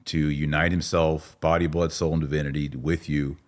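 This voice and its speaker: A man's voice: rumbling low, little pitch movement, normal speed. An American man hosting a podcast in casual, informal chat, reading out and answering listener comments. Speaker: podcast host.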